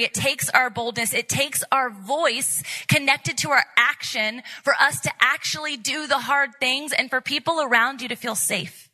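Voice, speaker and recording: A woman with an extremely bright, forceful voice, pitched fairly high, gives a motivational faith talk as a conference speaker. She exhorts her listeners earnestly and repeats phrases for emphasis. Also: low-quality recording.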